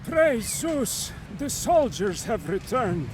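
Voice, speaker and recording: High-voiced excitable old townsman. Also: slow, noisy background, full character voice. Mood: relieved. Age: older. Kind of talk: video game cutscene acting.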